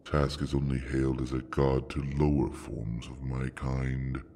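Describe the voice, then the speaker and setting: A man playing an ancient, bookish dragon, proud and possessive, with a deep gravelly voice and a formal, grandiose way of speaking in a fantasy audio drama. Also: little pitch movement, mellow, full character voice. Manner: slow, formal, grandiose speech.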